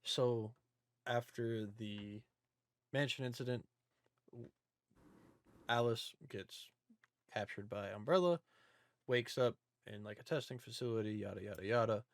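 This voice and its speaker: A man's voice: mid-range voice, unhurried, pauses often, no room sound. A man hosting a podcast, talking casually about films in an informal, joking recap style. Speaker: podcast host. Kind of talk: casual movie discussion.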